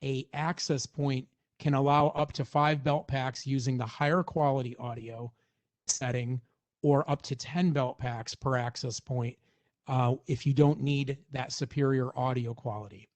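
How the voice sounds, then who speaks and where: A man's voice: medium pitch, fairly even intonation, unhurried, darkish tone. A man presenting a product walkthrough in an informal, explanatory way, as in a semi-scripted technical demonstration.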